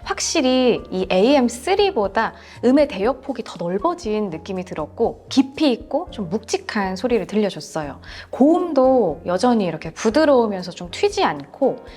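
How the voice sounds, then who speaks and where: A woman host with a fairly high voice and animated intonation presents a product review. Her delivery is polite and conversational, with music underneath. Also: moderate pace, hardly any pauses. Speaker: show host.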